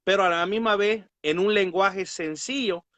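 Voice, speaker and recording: High-voiced pastor, earnest. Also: male voice, moderate pace, fairly bright tone, dry recording. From Guatemala. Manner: earnest, pious, peppered with amens. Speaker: pastor and Bible teacher, guest. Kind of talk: devotional religious talk.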